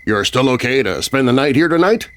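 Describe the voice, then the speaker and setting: A man's voice: mid-range voice, very animated, a little fast, natural voice. A man voicing a boy's loving father in a children's horror story. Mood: warm, playful. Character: boy's loving father, nervous and urgent when afraid.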